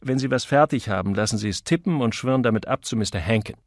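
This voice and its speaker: A male narrator plays a grumbling, bossy ad man in an audiobook. He is curt, cynical and emphatic, mid-pitched, with a dry close sound. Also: fast talker, dry recording, close to an everyday voice. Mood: brisk, instructing. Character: grumpy, cynical male advertising copywriter, bossy and exasperated.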